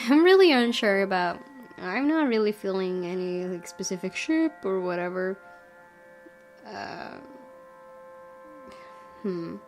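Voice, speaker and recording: A woman's voice: mid-range voice, lively intonation, slow, pauses often. Woman gamer with music behind her. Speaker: gaming video host. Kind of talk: let's play commentary and reading aloud.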